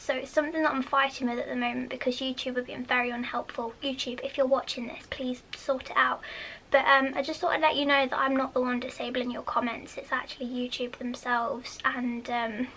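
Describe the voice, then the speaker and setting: Soft, high, childlike English woman. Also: slight background noise. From United Kingdom. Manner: chatty, rambling with fillers; often drops to a whisper. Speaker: vlogger. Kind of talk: casual vlog chat.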